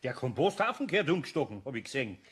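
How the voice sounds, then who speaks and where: A man's voice: mid-range voice, big pitch swings, rapid-fire, a bit bright, slightly rough. An actor playing a stern but good-natured older master craftsman with a Bavarian accent in a children's audio play. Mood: gruff, relenting.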